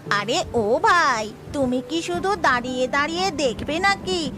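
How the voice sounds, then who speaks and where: A woman's voice: high voice, lively intonation, unhurried. A woman voicing a friendly female village ghost in human guise for a cartoon, with music behind her. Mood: warm, urging. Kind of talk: Bengali cartoon voice acting.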